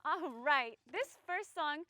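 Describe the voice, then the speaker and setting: A woman's voice: high-pitched, animated, slow pace, pushed and bright, dry recording, clean studio sound. A woman presenting a children's storytime as a friendly mermaid, playfully talking straight to young viewers with exaggerated expression. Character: friendly singing mermaid who loves the land. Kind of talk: children's storytime read-aloud and singalong presenting.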